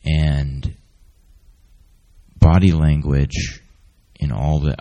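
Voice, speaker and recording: Man, extremely deep voice, unhurried and pausing, reflective audience comment. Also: flat delivery, dark tone. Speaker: audience member.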